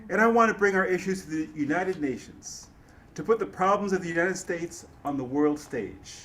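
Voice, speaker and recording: A man playing an earnest, impassioned civil rights activist and orator in a dramatic reading, speaking in measured oratory. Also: high-pitched, lively intonation, fairly bright tone, slight background noise. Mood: urgent, explanatory. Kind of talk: dramatic reading of historical dialogue.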